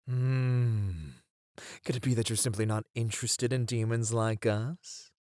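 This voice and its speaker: A man playing a charming, smug male incubus demon in a flirty ASMR roleplay, with a teasing, drawn-out delivery. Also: mid-range voice, little pitch movement, a little mellow, no room sound, studio quality, natural voice. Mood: seductive, coaxing.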